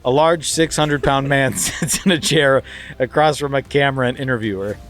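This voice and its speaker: A man hosting a podcast, narrating script stage directions in a dry, steady voice. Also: mid-range voice, moderate pace.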